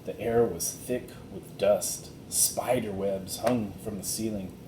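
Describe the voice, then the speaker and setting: A man reading a children's storybook aloud in a deliberate, expressive way. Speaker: reader of a children's chapter book. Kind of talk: scripted storybook narration, read aloud. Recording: roomy echo, faint noise and rumble.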